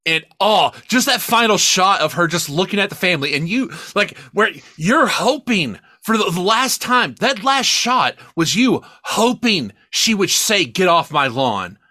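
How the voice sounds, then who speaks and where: Bright, emphatic man talking movies. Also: sits high, big pitch swings, unhurried, few pauses, dry recording, clean studio sound. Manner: emphatic, sweary. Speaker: podcast co-host reviewing films. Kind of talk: casual, opinionated film discussion.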